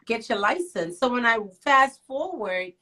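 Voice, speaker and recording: A middle-aged woman from Liberia, a nail professional and author, telling her story as an interview guest in a lively, teasing way. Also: on the high side, unhurried. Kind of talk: casual interview.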